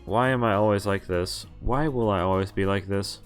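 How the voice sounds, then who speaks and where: A man's voice: lowish voice, normal speed. Man reading a visual novel aloud. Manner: reads plainly, stumbles and corrects himself. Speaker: streamer. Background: game music.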